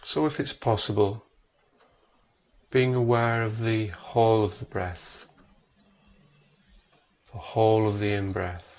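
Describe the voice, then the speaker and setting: A man narrating a guided meditation as an instructor, in a measured way with long pauses between phrases. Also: lowish voice, fairly even intonation, a little mellow.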